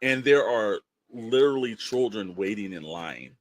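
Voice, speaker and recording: A man's voice: on the low side, animated, measured pace, no echo. A man hosting a casual podcast, giving opinions in a rambling, emphatic, exasperated way with storytelling and quoted asides. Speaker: podcast host.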